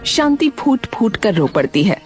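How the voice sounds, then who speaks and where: A woman narrating a cartoon moral story in an expressive, scripted storytelling style. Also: medium pitch, a little slow, soft background music.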